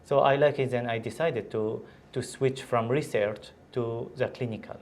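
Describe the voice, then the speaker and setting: A man's voice: mid-range voice, fairly even intonation, moderate pace, darkish tone, a little hiss or hum. A man from Syria, a physician, answering interview questions in English with many fillers and restarts. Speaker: guest, physician.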